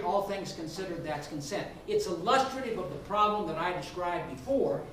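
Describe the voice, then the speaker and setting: A man who is a law professor speaking in a debate, laying out his case deliberately in short rhetorical phrases. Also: high voice, fairly bright tone. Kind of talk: spoken legal debate. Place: indoor room at a law school event. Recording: very echoey room, distant mic, faint noise.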